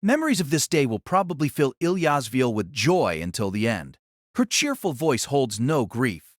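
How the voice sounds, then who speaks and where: A man's voice: mid-range voice, sing-song, normal speed, no room sound, clean studio sound. A man narrating a scripted story in a dramatic audiobook style.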